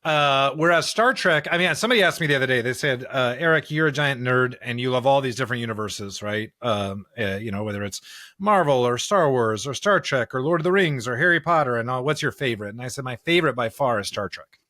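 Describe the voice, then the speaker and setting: A man with a bright, forward voice in a dry, close recording. He talks like a podcast co-host in discussion, thinking aloud and checking his points with frequent tag questions. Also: mid-range voice, a little fast, no room sound. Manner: animated explainer, often checking with "right?". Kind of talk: conversational discussion of scripture and pop culture.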